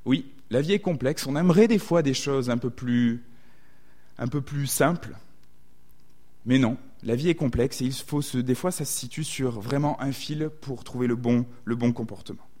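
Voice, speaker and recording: A man's voice: mid-range voice, moderate pace, a little mellow. A man with a southwestern French accent preaching a sermon, thoughtful and often hesitating to reword his thoughts.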